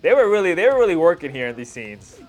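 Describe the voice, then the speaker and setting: A man's voice: fairly high pitch, sing-song, quick pace, soft background music. A man in his thirties giving casual reaction commentary as a video host, talking like an enthusiastic fan with slangy asides.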